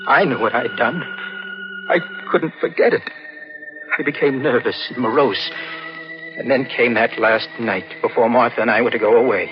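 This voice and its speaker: An actor playing a guilt-ridden, nervous young man in an old radio drama, with a halting, intense delivery. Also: medium pitch, natural voice. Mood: brooding confession. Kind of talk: 1940s radio drama acting. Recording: muffled old radio recording, loud music.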